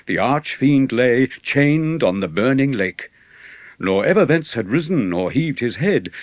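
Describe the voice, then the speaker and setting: An actor playing a solemn poet, narrating epic verse in a measured, declamatory way. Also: male voice, medium pitch, no echo.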